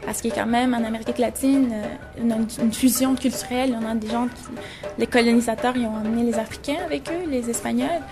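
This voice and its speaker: Young woman photographer from Venezuela, 20s, higher voice, reflective, music underneath. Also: steady tone, a little fast. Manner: reflective, searching for words. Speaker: photographer and journalism student. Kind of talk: interview about her photo exhibition.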